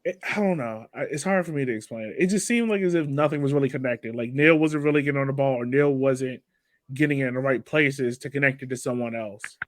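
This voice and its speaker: A man's voice: mid-range voice, fairly quick, no echo. A man hosting a casual sports podcast discussion, talking loosely and conversationally. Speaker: host of a soccer fan podcast. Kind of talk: casual post-game discussion.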